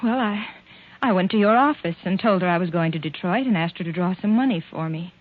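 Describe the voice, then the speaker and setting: Actress as a faltering wife on tinny radio. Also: female voice, on the low side, fairly quick, close to an everyday voice. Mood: evasive. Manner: faltering, pleading, melodramatic. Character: frightened, guilt-ridden wife under suspicion. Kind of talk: 1940s radio drama acting. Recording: tinny phone-like 1940s radio broadcast.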